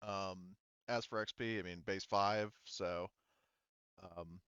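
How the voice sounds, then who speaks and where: A man's voice: sits low, fairly even intonation, slow pace, a bit bright, clean tone, no room sound, dull audio. A man acting as game master, casually moderating a tabletop game and hesitantly checking in with his players.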